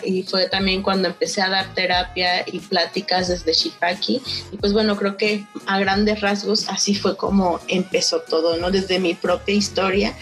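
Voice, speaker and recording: A woman's voice: mid-range voice, fairly bright tone. A young Mexican woman with a Mexican Spanish accent, telling her personal story as an interview guest in an earnest, reflective way, with frequent hesitations. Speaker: guest, founder of a social initiative.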